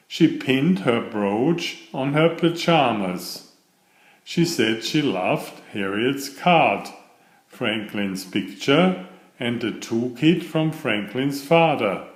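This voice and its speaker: A man reads a children's picture book aloud at an unhurried pace. His pitch moves around a great deal as he narrates. The recording has a noticeable room echo. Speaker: storyteller and children's author. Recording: roomy echo.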